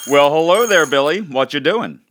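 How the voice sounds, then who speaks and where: A man's voice: on the high side, lively intonation, normal speed, lightly acted. An actor playing a cheery, corny know-it-all father in a parody old-time radio advert, folksy and instructive.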